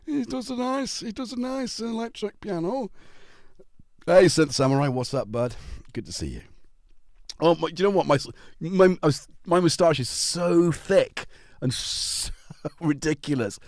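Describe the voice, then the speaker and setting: A man's voice: on the high side, expressive, a little slow, a little mellow, no echo, clean studio sound. A middle-aged man from North Wales with a North Welsh accent, chatting casually with viewers on a livestream and joking as he greets them by name. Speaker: livestream host. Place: home.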